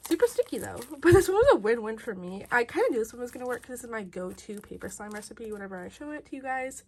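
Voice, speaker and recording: A young woman with a head cold, hosting a casual slime and toy tutorial from her bedroom in a bubbly, upbeat way. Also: fairly high pitch. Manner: bubbly, upbeat, repeats "you guys". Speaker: toy and slime channel host. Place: bedroom, indoors. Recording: loud air conditioner hum. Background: air conditioner noise.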